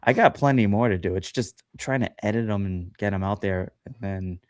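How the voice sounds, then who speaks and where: A man's voice: fairly low pitch, normal speed, clear, resonant tone, no room sound, clean studio sound. A man chatting as a guest on a livestream podcast, talking loosely with lots of fillers and self-deprecating asides. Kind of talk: casual chat on a livestream.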